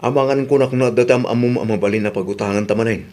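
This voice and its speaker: A man's voice: on the low side, steady tone, quick pace, darkish tone, close to an everyday voice. A man playing a broke husband in an amateur radio drama, coaxing his way toward another loan in a conversational manner. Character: broke husband casually pushing to borrow again.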